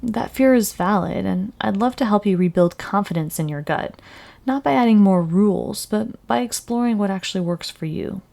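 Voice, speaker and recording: A woman presenting as a dietitian trainer, giving an instructional mock counseling session in a measured, reassuring delivery. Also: medium pitch, vocal fry, warm tone.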